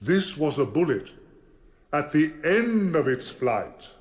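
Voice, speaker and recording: A theatrical, animated barrister. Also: male voice, a little high. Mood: theatrical, incredulous. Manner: theatrical, deliberate, probing. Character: formidable male barrister cross-examining witnesses, theatrical and insistent. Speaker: defence barrister. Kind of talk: courtroom radio drama acting.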